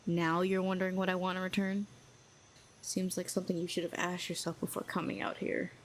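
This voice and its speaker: A proud telepathic villainess, performed by a woman in an audio roleplay, speaks in a cool, sardonic, needling way. Her voice sits a little low. A faint hiss runs under the recording. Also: level tone, a little fast. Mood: guarded. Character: proud telepathic female villain, cynical, lonely.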